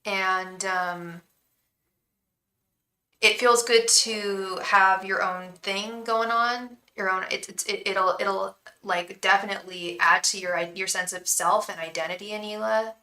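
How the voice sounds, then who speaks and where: A young woman gives a live tarot reading in a chatty, reassuring tone. Her voice is bright and clear, with a calming quality. She often restarts her sentences, and the room around her has a noticeable echo. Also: mid-range voice, unhurried. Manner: addresses viewers fondly as "love". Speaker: tarot reader and psychic.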